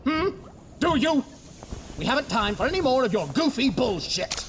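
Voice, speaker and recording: Pompous, swooping high-pitched mad scientist. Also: male voice, very animated, normal speed. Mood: exasperated. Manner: theatrical, grandiose, exasperated. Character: pompous, irritable young mad scientist, flustered and arrogant. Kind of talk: comedic horror audio drama acting. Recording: noisy, echoing hall reverb, clicks.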